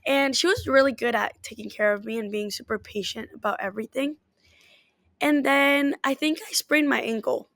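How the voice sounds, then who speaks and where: Bright-voiced woman athletic trainer, dry. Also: high-pitched, normal speed, creaky, no echo. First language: Spanish. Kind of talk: interview answers.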